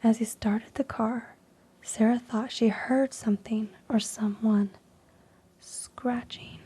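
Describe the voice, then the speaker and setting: A woman reading stories in a soft unvoiced ASMR whisper, slow and hushed with frequent ums, while losing her voice. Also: fairly high pitch, fairly even intonation, slightly rough. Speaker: ASMR creator.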